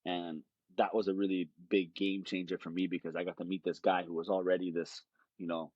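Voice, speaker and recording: A man's voice: low voice, flat delivery, a little fast, a little mellow, breathy edge, no room sound, lo-fi sound. A man, telling stories in a rambling, easygoing way as a podcast guest. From Hawaii. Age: late 20s. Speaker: guest, jiu-jitsu fighter. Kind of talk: casual podcast conversation.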